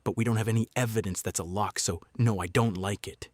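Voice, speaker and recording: An actor playing a guarded small-town sheriff in a noir audio drama, laconic, deadpan and measured. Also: male voice, lowish voice, dry recording, clean studio sound, close to an everyday voice. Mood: terse, uneasy.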